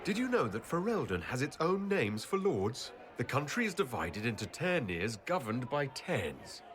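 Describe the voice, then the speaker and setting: A man's voice: medium pitch, sing-song, moderate pace, a crowd in the background, natural voice. An actor playing a composed, well-spoken young nobleman and leader in a video game, articulate and courteous. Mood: conversational. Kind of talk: video game character acting.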